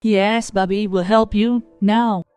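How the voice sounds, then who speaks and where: A woman's voice: mid-range voice, steady tone, slow pace, darkish tone, clear, resonant tone, no echo, soft background music, close to an everyday voice. A woman playing a conciliatory, apologetic mother-in-law in a family cartoon story.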